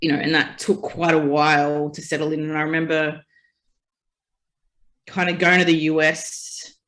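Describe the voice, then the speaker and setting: A woman's voice: low voice, little pitch movement, normal speed, a bit bright. An Australian woman interview guest, telling her own story in a reflective way with frequent "you know" and "like". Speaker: guest, festival founder. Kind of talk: casual interview chat.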